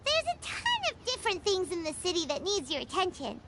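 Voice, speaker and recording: A woman voicing a tiny, excitable, chatty fairy companion in an anime game, with a squeaky childlike voice. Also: brisk, exaggerated voice. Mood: bubbly, eager. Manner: refers to self in third person, exclamatory. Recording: loud noise behind the voice.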